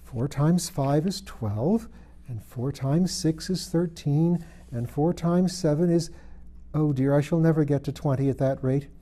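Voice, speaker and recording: A man's voice: a little high, measured pace, mellow. A man voicing a bewildered, chatty young girl from a storybook, fretful and prim as she talks to herself. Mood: puzzled, fretful. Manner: prim, exclamatory, talking to herself. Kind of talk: storybook character voice.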